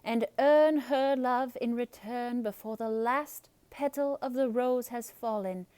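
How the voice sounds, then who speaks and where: A woman voicing a solemn enchantress who pronounces her words in a grave, deliberate way, as in a fairy tale. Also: a little high, darkish tone, clean tone, no echo, voice pushed up, close to an everyday voice.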